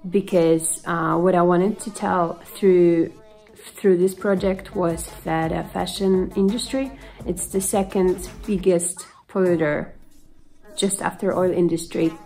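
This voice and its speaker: A woman's voice: on the low side, fairly even intonation, slow, gravelly, mellow. A woman in her thirties, a film director, talking earnestly about her project in plain English with small slips. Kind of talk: video call interview. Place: home room indoors.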